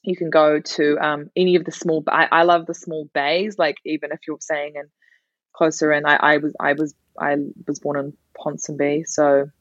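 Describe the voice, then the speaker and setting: A young woman with a New Zealand accent talks casually as a podcast guest, laughing a lot and starting sentences over. Her voice sits low. The recording is dry and slightly dull. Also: level tone, normal speed, no room sound. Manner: chatty, laughs often, many restarts. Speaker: guest, comedian.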